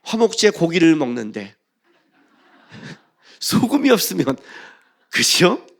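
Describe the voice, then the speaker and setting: Male pastor, higher-pitched voice, very lively intonation, emphatic sermon delivery, rhetorical questions, loud stresses and hushed phrases. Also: slow pace, creaky. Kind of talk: sermon with scripture reading.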